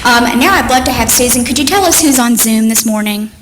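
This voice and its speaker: A woman's voice: on the high side, brisk, over music. A woman from Mississippi speaking as a worship leader, giving a welcoming address to a congregation. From Mississippi, United States. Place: church sanctuary with microphone. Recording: echoing hall, audible background noise.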